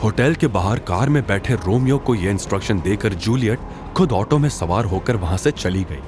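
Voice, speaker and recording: A man with a low voice narrates a dramatic audio story. His delivery rises and falls in a lively, scripted storytelling style, with music playing underneath. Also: moderate pace.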